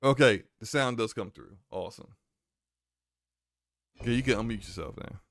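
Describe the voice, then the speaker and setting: A man with a deep voice chatting casually on a live game stream, flustered as he talks himself through tech trouble. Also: moderate pace, fairly bright tone, no echo.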